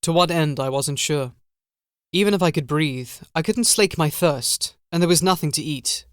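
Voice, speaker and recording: A man narrating a first-person horror audiobook in a measured, literary, brooding manner. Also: fairly high pitch, no echo, clean studio sound.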